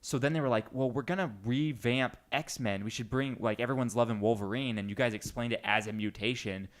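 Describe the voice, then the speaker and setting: A man hosting a casual group podcast, chatting in an animated, jokey way. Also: medium pitch, fairly quick. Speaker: podcast host.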